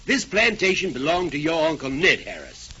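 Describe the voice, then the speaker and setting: An actor playing a courtly, formal old colonel with a Southern US accent in 1940s radio comedy style. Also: male voice, on the high side, big pitch swings, moderate pace, bright, forceful tone, husky, heavily acted. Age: older. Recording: dull 1940s radio broadcast recording.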